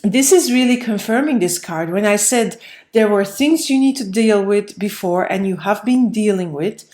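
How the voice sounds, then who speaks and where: A woman's voice: medium pitch, animated, normal speed, mellow. Woman reading tarot in an echoey room. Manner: rambling, conversational, emphatic repetition. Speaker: tarot reader, host. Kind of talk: casual spoken tarot reading to viewers. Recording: roomy, echoey room sound.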